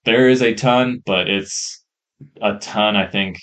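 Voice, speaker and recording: A man co-hosting a podcast, reviewing games in a casual, enthusiastic ramble on a rough-sounding mic. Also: fairly low pitch, slow, fairly bright tone. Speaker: podcast co-host. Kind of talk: casual chat, game review.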